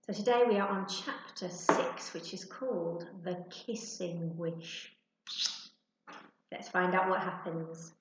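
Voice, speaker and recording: A woman's voice: on the low side, slow pace, highs cut off, a little hiss or hum. A woman narrating a children's storybook expressively, like a teacher reading to her class. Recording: echoey, roomy sound.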